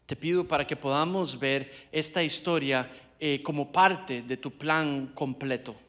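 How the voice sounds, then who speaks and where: Man, church interpreter, a bit high-pitched, hesitant, stop-and-start phrasing. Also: steady tone, a little slow, a bit bright. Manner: hesitant, many fillers and restarts while interpreting. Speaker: Spanish interpreter for the preacher. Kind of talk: live sermon interpretation. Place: church sanctuary.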